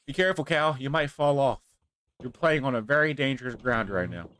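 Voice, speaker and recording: A man, hosting his own gaming channel, rambling and thinking out loud as he plays, his nose stuffy from a cold. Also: mid-range voice, brisk, slight background noise. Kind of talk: casual gameplay commentary.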